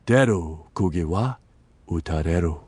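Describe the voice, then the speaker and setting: A man voicing a stubbornly honest Japanese immigrant farmer father who gives measured instruction in an audio drama. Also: sits low, expressive, darkish tone, breathy edge, pitched below the performer's own voice, heavily acted. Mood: solemn.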